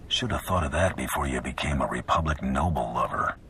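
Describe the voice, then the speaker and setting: An actor playing a terse, sarcastic bounty hunter in a video game cutscene. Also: male voice, extremely low pitch, a little fast, pushed and bright, slight background noise, full character voice. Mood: sardonic. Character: gruff mercenary bounty hunter, blunt and unimpressed.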